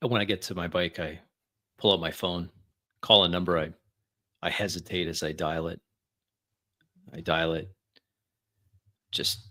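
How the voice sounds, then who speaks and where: Male, very low pitch, slow pace, terse hesitant narration, tabletop player. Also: pushed and bright. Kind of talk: slow improvised first-person narration.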